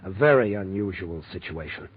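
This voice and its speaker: Very deep-voiced brooding young intern. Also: male voice, expressive, normal speed. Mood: grimly brooding. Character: brooding, bitter young male hospital intern. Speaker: radio drama actor. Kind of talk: 1940s radio acting. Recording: muffled, noisy old radio broadcast.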